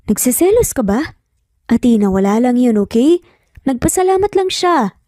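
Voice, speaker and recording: A woman's voice: on the high side, very animated, moderate pace, mellow, no echo, studio quality. A woman voicing a concerned, earnest man, a boss and father, in an audio romance. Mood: confused, explaining.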